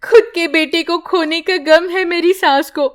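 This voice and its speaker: A woman doing a sobbing young mother, high and slow, pleading. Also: steady tone. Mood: tearful. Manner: scolding, then sobbing prayer. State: crying. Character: grieving young mother, harsh on the surface.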